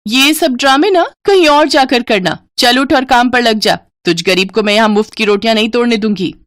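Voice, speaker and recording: A woman's voice: fairly high pitch, fairly quick, fairly bright tone, dry recording, studio quality, pitched above the performer's own voice, natural voice. A woman voicing an older mother-in-law in a cartoon moral story. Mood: scolding. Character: harsh scornful older mother-in-law, later remorseful.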